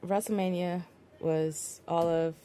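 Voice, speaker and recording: A woman's voice: fairly low pitch, fairly even intonation, slow, warm tone. A woman hosting a wrestling podcast, chatting casually with many fillers and easy laughter. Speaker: podcast host. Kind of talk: casual chat.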